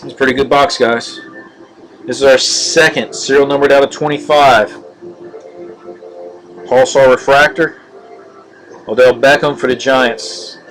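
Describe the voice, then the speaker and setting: Monotone man hosting a card break. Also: medium pitch, poor audio. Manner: monotone, halting with many fillers. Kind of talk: casual live-stream commentary. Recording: live stream with music behind. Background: music.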